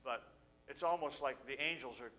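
Bright-voiced middle-aged man preaching. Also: mid-range voice. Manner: earnest, conversational, with halting restarts. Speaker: pastor. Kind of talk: sermon with scripture reading. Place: small church sanctuary. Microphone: pulpit stand mic.

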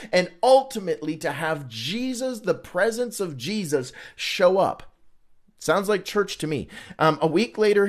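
Higher-voiced man teaching a Bible study. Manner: conversational, rhetorical questions answered by himself. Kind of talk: informal Bible read-along teaching with commentary.

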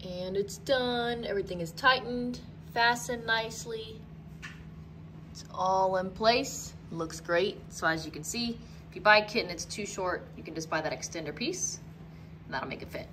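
A woman's voice: mid-range voice, normal speed, a bit bright, slight background noise. A woman casually narrating a home renovation project in an unfinished basement, upbeat and teasing. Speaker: co-host of a home renovation channel.

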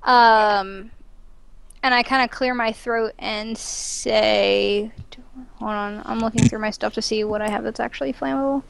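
A woman's voice: fairly high pitch, fairly even intonation, unhurried, gravelly, slight background noise. A woman playing a tabletop game over a video call on a headset, making dry, wry objections. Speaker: player.

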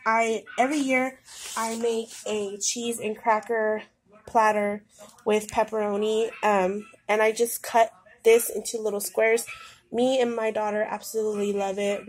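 A woman's voice: on the high side, fairly even intonation, measured pace. A woman with a cold and a stuffed-up nose, chatting casually through a grocery haul at home, rambling with lots of ums. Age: adult with a daughter. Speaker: vlogger. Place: home, indoors. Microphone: phone camera pointed at groceries.

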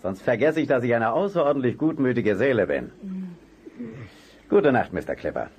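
An actor playing a gruff but good-natured uncle in a children's radio play, with a blustery, theatrical way of talking. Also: male voice, mid-range voice, sing-song, normal speed, a little mellow, a little hiss or hum, lightly acted. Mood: gruffly kind. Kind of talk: German children's detective radio play acting.

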